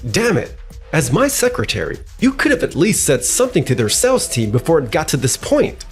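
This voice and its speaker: Man acting animated, irritable company boss. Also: fairly high pitch, brisk, soft background music. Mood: irritated. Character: irritable, defensive male company boss, impatient and blustering. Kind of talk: expressive manga dub voice acting.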